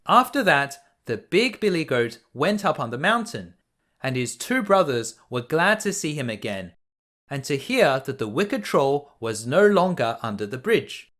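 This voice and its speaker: A man narrates a children's bedtime story expressively. His voice is fairly high and bright. He takes his time, with very lively rises and falls. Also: unhurried. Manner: expressive read-aloud.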